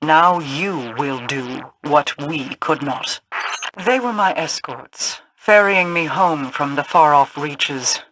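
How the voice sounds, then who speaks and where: A woman's voice: sits low, slow, a bit bright, a little hiss or hum, poor audio. An actress voicing an aloof, commanding queen in a video game, with a formal, solemn delivery. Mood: solemn, cool. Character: regal, aloof, commanding queen of a fallen realm. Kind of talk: scripted video game dialogue.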